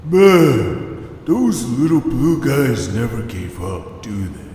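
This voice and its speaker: An actor playing a gruff, dim-witted and grumpy creature guard in an audio drama. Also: male voice, medium pitch, animated, takes it slowly, warm tone, slight background noise. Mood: dopey.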